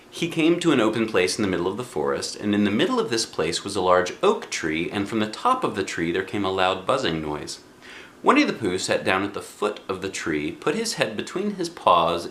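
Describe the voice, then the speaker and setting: A man with a fairly low voice reads a children's storybook aloud. His delivery is highly animated and expressive, addressed to young listeners. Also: echoey room, slight background noise. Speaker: storybook reader.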